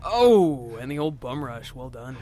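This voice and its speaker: A man's voice: mid-range voice, very animated, unhurried, music in the background. A man bantering casually over gameplay in a droll, mock-genteel manner. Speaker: let's play co-commentator.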